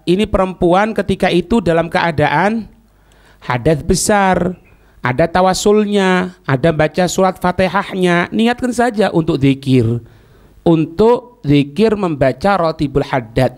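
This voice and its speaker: A man teaches a religious text. His voice sits a little high, and he talks very slowly. He moves phrase by phrase between Arabic terms and explanation, leaving halting pauses. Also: a little mellow. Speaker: Islamic teacher.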